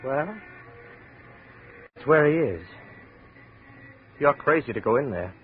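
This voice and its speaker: Sly world-weary barfly in an old radio play, sardonic, mid-pitched, tinny telephone-like sound. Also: male voice, animated, takes it slowly, faint music behind. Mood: resigned bravado. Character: sly, fatalistic male barfly, prickly and sardonic. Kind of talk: 1950s radio drama acting.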